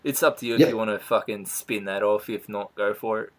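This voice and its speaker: A man's voice: fairly low pitch, a little fast. A man in his late twenties from Sydney with an Australian accent, hosting a casual interview, swearing freely and laughing often. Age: 20s. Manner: swears a lot, laughs easily. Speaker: host, interviewer.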